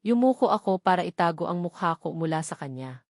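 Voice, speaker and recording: A woman's voice: lowish voice, lively intonation, quick pace, no echo, clean studio sound. A woman with a Filipino accent reading a first-person romance novel as a scripted narration. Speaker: audiobook narrator.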